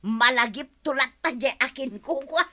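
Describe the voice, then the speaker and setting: A woman with an Ilocano accent playing an excitable, dithering, forgetful villager in a comic audio drama. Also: high-pitched, normal speed. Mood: indignant.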